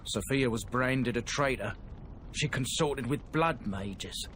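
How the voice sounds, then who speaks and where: An actor playing an easygoing, chatty dwarf trader in a game. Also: male voice, mid-range voice, takes it slowly, a bit bright, slight background noise. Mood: friendly. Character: friendly male dwarf trader. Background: game music.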